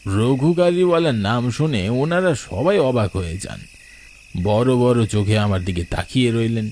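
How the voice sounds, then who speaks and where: A man's voice: mid-range voice, animated, moderate pace, a little mellow, a little hiss or hum. A man narrating a ghost story in the first person, in a dramatic storytelling style. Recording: night crickets and insects behind.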